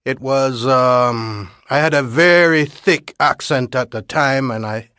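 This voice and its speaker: A man recalling his own experience in a halting way, repeating words, growing animated as he describes things. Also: medium pitch, clear, resonant tone, no room sound, clean studio sound. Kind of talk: unscripted personal account.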